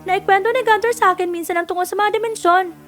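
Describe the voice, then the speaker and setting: A woman voicing a bold, skeptical young heroine girl in a fairy-tale cartoon, with an animated delivery. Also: extremely high pitch, fast talker, over music. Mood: skeptical, curious.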